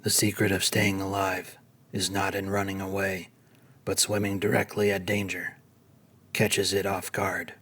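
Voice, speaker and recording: A man voicing a dying old lizard-man, sly and proud, with a husky voice and a theatrical delivery in a dramatized fantasy story. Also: deep voice, monotone, measured pace, fairly bright tone, exaggerated voice.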